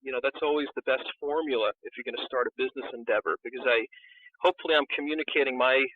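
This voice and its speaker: Male interview guest, mid pitch, conversational, narrow phone-like audio. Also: rapid-fire, breathy edge, no echo. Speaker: interview guest, book publisher. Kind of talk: casual conversational interview answers. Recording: tinny phone-like call line.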